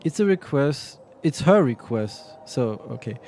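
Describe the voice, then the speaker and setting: A man's voice: mid-range voice, big pitch swings, unhurried, dark tone, a little hiss or hum. Rambling man streaming a game. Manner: rambling, reads game text aloud, jokey. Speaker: vtuber streamer. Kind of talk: casual game stream commentary.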